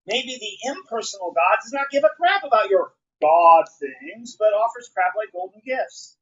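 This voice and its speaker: A man's voice: high-pitched, lively intonation, normal speed. Dull-sounding recording of a declamatory male poet. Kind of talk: live spoken-word poetry reading. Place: live reading at a bookshop.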